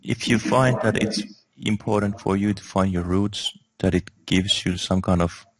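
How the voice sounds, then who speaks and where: Very deep, flat-voiced man from Finland. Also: no echo, rough recording. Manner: slow, hesitant, many fillers and restarts. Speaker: guest. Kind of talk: spiritual interview, reflective.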